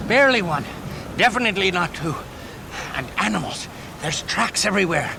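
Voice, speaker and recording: Anxious, creaky-voiced pious villager. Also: male voice, sits high, expressive, moderate pace, background noise. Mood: horrified. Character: fearful, pious villager on a hunt. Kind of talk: period audio drama acting.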